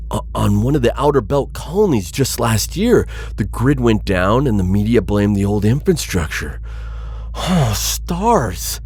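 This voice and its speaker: A man voicing a cautious but principled insectoid alien bounty hunter in an ASMR roleplay, with husky, halting, formally worded speech. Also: lowish voice, darkish tone, slight background noise. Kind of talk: ASMR audio roleplay addressed to listener.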